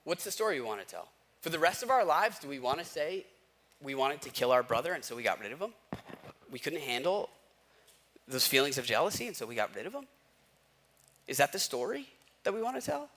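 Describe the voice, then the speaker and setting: Bright, higher-voiced American man preaching casually. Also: sing-song, fairly quick, long pauses. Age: 30s. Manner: casual, uses everyday slang and rhetorical questions. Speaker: pastor preaching. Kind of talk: conversational sermon with personal stories.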